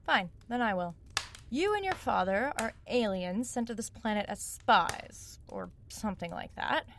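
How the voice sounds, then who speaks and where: An actress playing a sharp, sarcastic young woman who probes for answers in a naturalistic audio drama. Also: mid-range voice, expressive, measured pace, a little hiss or hum. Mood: frank, challenging. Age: young adult. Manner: wry, probing, sardonic.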